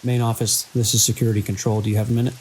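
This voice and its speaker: An actor playing a weary, watchful security chief in an audio drama, speaking in a dry, measured way. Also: male voice, fairly low pitch, flat delivery, darkish tone, lightly acted, low-quality recording. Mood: curious. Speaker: security lead. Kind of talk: audio drama, logs and radio dialogue. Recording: hiss and rain-like noise.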